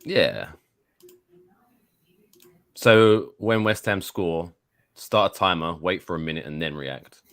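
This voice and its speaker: A young man with a very deep voice joins a casual sports watchalong. He adds short, dry, wry comments to the chat. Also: slow pace, pauses often, a bit bright. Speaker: podcast co-host.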